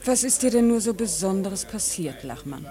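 A woman's voice: medium pitch, expressive, moderate pace, warm tone, close to an everyday voice. An actress in a German radio play voicing a level-headed woman who questions an old friend in a matter-of-fact way. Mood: concerned, probing.

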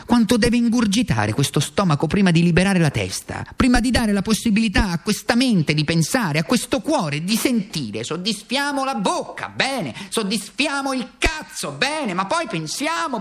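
An actor playing a tormented, self-accusing worn-out communist in a theatrical radio monologue, halting and repeating phrases for emphasis. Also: male voice, sits high. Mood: impassioned, pleading.

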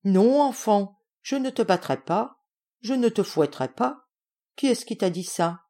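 A woman's voice: mid-range voice, animated, measured pace, darkish tone, voice pushed up. Woman acting out a blunt, wry old general. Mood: reassuring. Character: gruff, kindly old general, wry great-uncle.

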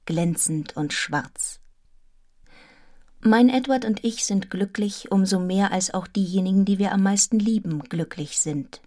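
An actress playing a devoted, composed young wife in a radio drama, speaking in a measured, intimate, reflective way. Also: female voice, mid-range voice, dark tone, close to an everyday voice. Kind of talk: literary radio drama, first-person narration and dialogue.

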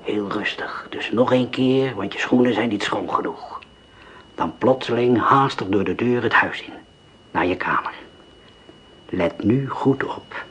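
A cold, commanding gang leader, giving orders calmly and precisely. The voice sits low. A faint hiss runs under the radio play. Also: male voice, measured pace. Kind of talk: radio drama acting.

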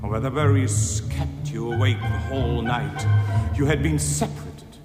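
A man performing an anguished survivor's account in dramatic sung-spoken recitation, declaiming between murmurs and shouts. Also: mid-range voice, a little slow, loud music behind the voice, close to an everyday voice. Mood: anguished, haunted. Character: anguished male survivor narrator, quoting a barking German sergeant. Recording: very echoey hall with loud orchestra.

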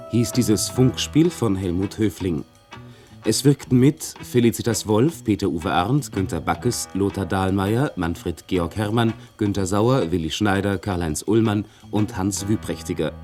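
A man's voice: on the low side, measured pace, a little mellow, soft background music. A man formally reading the closing credits of a radio play as its announcer.

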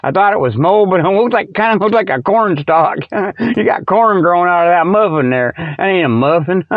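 A man's voice: high voice, dry recording. A man hosting a podcast, rambling through a comedic monologue in folksy, colloquial speech with a mock-salesman streak. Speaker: podcast host. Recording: dull, lo-fi radio-style audio.

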